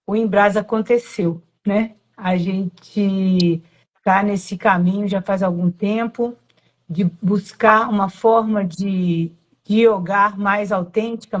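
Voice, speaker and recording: A woman's voice: medium pitch, rough recording. A woman in her late forties from Minas Gerais, with a mild Minas accent, telling her personal story in a reflective, meandering way, often correcting herself. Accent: Brazilian Portuguese, Minas Gerais (Zona da Mata, mild). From Minas Gerais, Brazil. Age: late 40s. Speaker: yoga teacher. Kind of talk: personal storytelling on a live stream.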